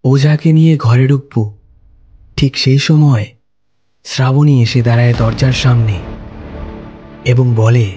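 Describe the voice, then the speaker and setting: Man, story narrator, mellow dark tone, slow measured telling, faint music behind. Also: mid-range voice, fairly even intonation, highs cut off. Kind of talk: scripted first-person story narration.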